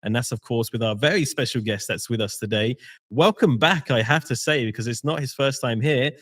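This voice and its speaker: A man's voice: mid-range voice, a little fast, fairly bright tone. A man hosting a live game-company stream as community manager, chatty and welcoming.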